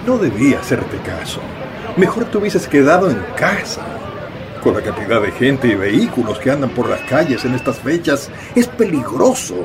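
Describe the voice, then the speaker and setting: A man's voice: mid-range voice, very animated, moderate pace, a crowd in the background. A man playing an anxious, protective father in a radio drama. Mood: worried scolding.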